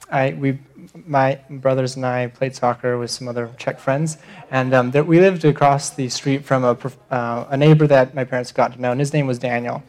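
An earnest young man gives a missions talk to a church congregation. He speaks at an ordinary pitch and often pauses with ums and restarts his sentences. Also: a little mellow. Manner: earnest, hesitant with many fillers.

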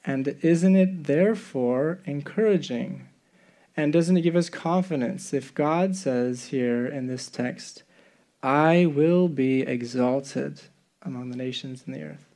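A man from the Czech Republic speaking as a missionary preacher, giving an earnest, halting talk to a congregation and often rephrasing himself. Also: medium pitch, dark tone. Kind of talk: sermon and missions presentation to a congregation.

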